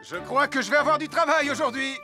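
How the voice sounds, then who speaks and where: High-voiced, reassuring cartoon firefighter man. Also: fast. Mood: upbeat, welcoming. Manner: clear, instructive. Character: friendly, reassuring adult male cartoon firefighter hero. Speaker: firefighter giving safety advice. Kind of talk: children's cartoon safety presentation. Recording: music behind the voice.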